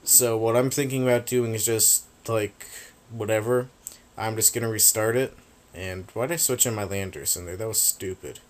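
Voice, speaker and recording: A man talks through a video game match in a loose, rambling way, tossing in casual swears. His voice sits a little low. It is turning raspy after a long stretch of talking. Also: fairly even intonation, moderate pace. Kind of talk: casual gameplay commentary.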